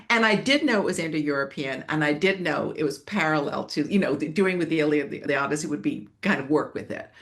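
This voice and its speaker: A woman's voice: fairly low pitch, fairly bright tone. Laughing, rambling older woman scholar. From Switzerland. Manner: rambling, self-correcting, laughs often. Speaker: guest scholar. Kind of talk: reflective interview storytelling.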